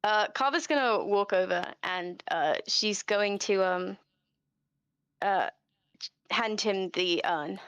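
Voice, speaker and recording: A woman's voice: mid-range voice, slow pace, fairly bright tone, clear, resonant tone, dry recording. A woman playing a tabletop game, improvising casually in a hesitant, informal way. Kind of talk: casual improvised role-play.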